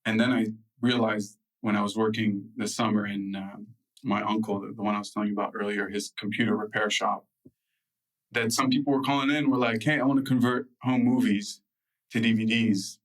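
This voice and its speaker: Low, flat-voiced man telling stories. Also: a little fast, recorded from a distance, poor audio. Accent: American. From United States. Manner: loose, filler-heavy, with frequent restarts. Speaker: guest. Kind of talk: relaxed interview storytelling.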